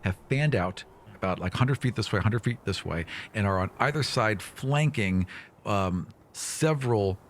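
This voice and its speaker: A man improvising narration as game master of a tabletop game, casual and halting, often repeating words. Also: on the low side, lively intonation, slight background noise.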